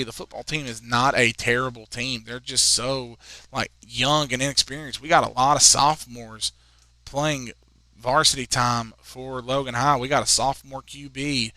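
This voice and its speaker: Bright, chatty young male commentator. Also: medium pitch, dry recording. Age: 20s. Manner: chatty, rambling asides between plays, excited on goals. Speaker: play-by-play commentator. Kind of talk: high school soccer commentary.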